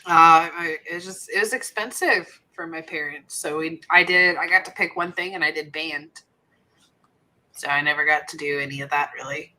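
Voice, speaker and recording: A woman's voice: deep voice, normal speed, pushed and bright. Congested woman losing her voice. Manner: chatty, laughs often. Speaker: military veteran. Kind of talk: casual chat.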